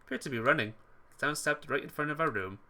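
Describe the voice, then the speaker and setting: A man's voice: on the high side, animated, quick pace, a crowd in the background. A man reading visual novel text aloud as dramatised narration, sometimes stumbling over words and rereading them. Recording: music behind the voice. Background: game music.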